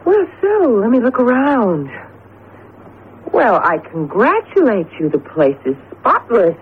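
An actress playing a chatty, affectionate, excitable wife in a radio drama, effusive and teasing. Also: female voice, a little high, slow, exaggerated voice. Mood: cheerful, teasing. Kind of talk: vintage radio drama acting. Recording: muffled lo-fi radio broadcast, faint noise.

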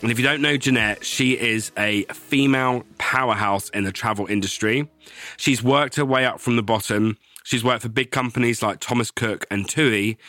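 A man's voice: fairly low pitch, dry recording, clean studio sound. A man hosting a podcast, introducing his guest in a jokey, chatty way and talking over a video call. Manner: warm, jokey, apologetic asides. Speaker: podcast host.

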